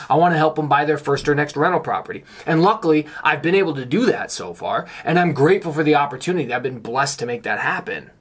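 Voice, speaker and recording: A slightly hoarse man giving a candid, earnest vlog monologue, speaking emphatically as someone a little under the weather. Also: medium pitch, fairly bright tone. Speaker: YouTuber on real estate investing.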